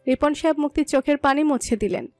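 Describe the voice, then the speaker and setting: A woman's voice: high voice, quick pace. A woman narrator reading a scripted romantic story. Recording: dry voice over soft music.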